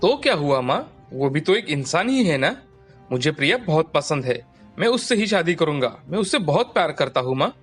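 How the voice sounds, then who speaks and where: A man's voice: a little high, sing-song, normal speed, fairly bright tone, husky, heavily acted. A man voicing an earnest, stubborn young man, a pampered lovestruck son in a cartoon story. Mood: earnest, insistent. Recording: music bed behind the voice.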